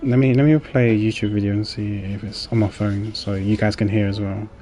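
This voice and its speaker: A man chatting casually on a game livestream, halting and thinking aloud as he goes. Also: fairly low pitch, fairly even intonation, mellow, faint music behind. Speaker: game streamer. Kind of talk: casual livestream chat while playing. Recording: faint noise, keyboard typing.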